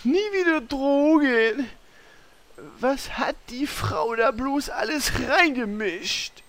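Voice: sits high, expressive, takes it slowly, fairly bright tone, exaggerated voice. A performer voicing a dim, hapless male warrior hero who talks in a hesitant, dopey way. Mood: groggy. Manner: hesitant, dopey, with filler sounds.

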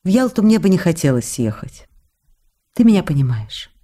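A tender actress, bright low voice. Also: female voice, big pitch swings, moderate pace. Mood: glad, tender. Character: affectionate, emotional young stage actress writing to her lover. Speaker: actress in an audio drama. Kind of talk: dramatic reading of letters for radio.

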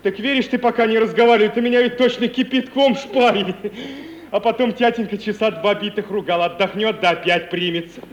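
An actor playing an anxious, lovesick young merchant's son with folksy, plaintive, grumbling speech in an old radio play. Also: male voice, sits high, brisk, lightly acted. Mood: agitated. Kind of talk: 1950 Russian radio play acting. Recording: muffled, hissy 1950s radio recording.

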